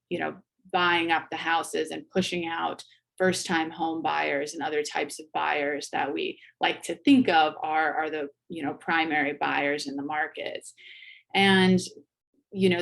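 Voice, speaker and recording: A woman giving measured, analytical commentary as an academic guest on a panel. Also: sits low, creaky.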